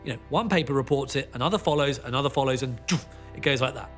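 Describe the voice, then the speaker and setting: A man explaining media history as an interviewee, in an animated, emphatic storytelling manner. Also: medium pitch, quick pace, soft background music. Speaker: documentary interviewee, media commentator.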